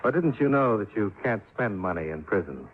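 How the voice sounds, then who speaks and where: A man's voice: lowish voice, lively intonation, brisk, a little hiss or hum, lightly acted. A man playing a dry, wisecracking investigator. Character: wisecracking male insurance investigator, narrator. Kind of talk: 1950s radio drama narration and acting. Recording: tinny old radio broadcast with music.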